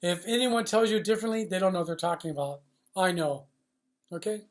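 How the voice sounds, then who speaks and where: A man giving an unscripted, opinionated monologue as an industry insider, speaking bluntly and emphatically with salty language and repeating phrases in a halting way. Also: high voice. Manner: blunt, emphatic, profane, riled up. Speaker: loudspeaker supply industry commentator based in China.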